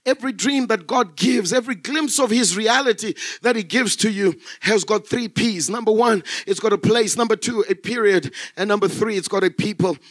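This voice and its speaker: A man's voice: high voice, dry recording. Fervent preaching man from Zimbabwe. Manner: fervent preaching, swelling to shouts. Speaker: pastor. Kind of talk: sermon. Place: church.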